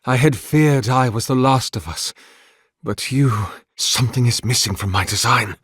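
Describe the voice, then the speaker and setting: A man's voice: mid-range voice, moderate pace, fairly bright tone, husky, no echo, studio quality. A man playing a devout, grandiose male oracle in a video game, speaking in a solemn, florid, sermonizing way as a zealous, mournful servant of a god. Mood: solemn, welcoming. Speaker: video game character voice actor. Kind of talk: theatrical scripted game dialogue.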